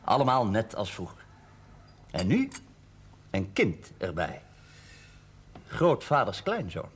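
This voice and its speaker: A man's voice: on the low side, wildly swooping pitch, slow pace, slightly rough, heavily acted. A man acting in a television drama as a prickly, sarcastic, defensive man with a biting manner. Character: prickly, sarcastic man, a mining company employee.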